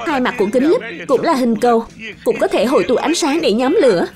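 A woman's voice: sits high, animated, fairly quick, over music. A woman voicing an earnest, confident father who explains things in a children's cartoon dub. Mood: explaining.

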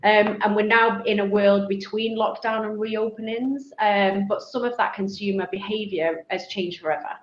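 A woman's voice: medium pitch, fairly even intonation, moderate pace, hardly any pauses. A middle-aged woman with a Manchester accent, speaking as a webinar panel guest and laying out her points thoughtfully. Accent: Mancunian. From Manchester, England. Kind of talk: webinar discussion. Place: office, video call. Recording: roomy, dull video-call audio with faint noise.

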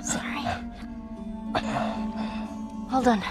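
A woman's voice: drawn-out words, music in the background. A woman playing a tough, anxious American teenage girl survivor in a video game, speaking in terse, urgent phrases. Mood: worried, tender. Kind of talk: naturalistic video game cutscene acting.

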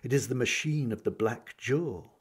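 A man voicing a proud, sly baron and sorcerer in a hushed, coaxing manner, reading from a fantasy story. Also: medium pitch, moderate pace, husky, natural voice. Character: living-machine's master, sly proud masked baron sorcerer.

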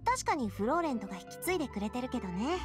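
アニメ調ゲームで、穏やかで茶目っ気のある若い女性リーダーを演じる女性。くだけた女性らしい口調で真摯に話す。. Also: female voice, fairly high pitch, very animated, very fast, music in the background. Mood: composed, earnest. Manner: casual, feminine, softly earnest. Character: bright, resilient young woman leader, gentle and playful. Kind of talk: Japanese anime game voice acting.

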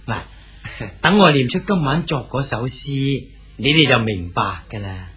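An actor with a Cantonese accent playing an aging exiled male poet, gentle and fatherly in a radio drama. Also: medium pitch, big pitch swings, unhurried, husky, soft background music, heavily acted. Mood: calm. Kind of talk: 1980s Hong Kong radio drama acting.

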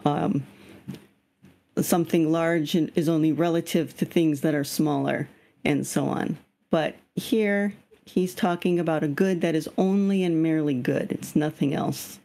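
A woman's voice: low voice, a little slow, mellow. Slightly scratchy woman leading a video-call reading group. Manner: explains patiently, asks the group questions. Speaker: study group leader. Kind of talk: leading an online reading discussion.

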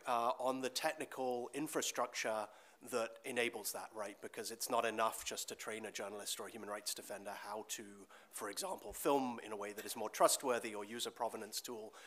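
A man's voice: mid-range voice, fairly bright tone. A middle-aged man introducing his work on a conference panel, articulate and earnest. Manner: articulate, earnest, speeding up at times. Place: conference hall stage. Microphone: table microphone.

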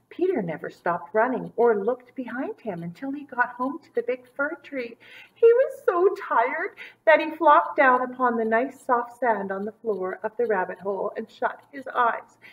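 A woman in her thirties reading a children's story aloud with dramatic emphasis and drawn-out words. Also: medium pitch, fairly bright tone, dull audio. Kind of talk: expressive storybook read-aloud for young children. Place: small indoor room set up as a classroom.

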